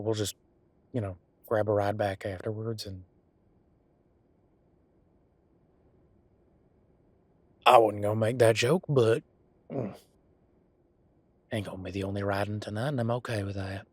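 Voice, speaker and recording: Rough-edged werewolf bodyguard acted by a man, deep-voiced, folksy and teasing, intimate audio drama, very dry close sound. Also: fairly even intonation, no echo, close to an everyday voice. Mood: flirty, teasing. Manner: casual, folksy, halting, teasing.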